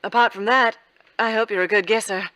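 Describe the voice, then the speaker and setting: A woman playing a composed, clever heroine trapped in a mystery, in measured 1940s radio drama style. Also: medium pitch, steady tone, very noisy, close to an everyday voice. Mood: puzzling, intent. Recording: clear music behind the voice.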